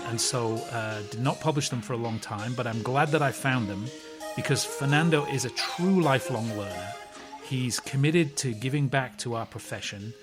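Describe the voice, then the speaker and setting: A man hosting a podcast reads the introduction and then asks his guest questions in a friendly, measured manner. His pitch sits in a typical middle range. Faint music plays under his voice. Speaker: podcast host.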